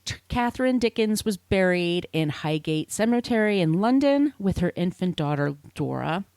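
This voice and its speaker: Lively, slightly low-voiced woman telling stories. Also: normal speed, no room sound, studio quality. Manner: informal, swearing, conversational asides. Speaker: podcast host. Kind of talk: casual storytelling chat.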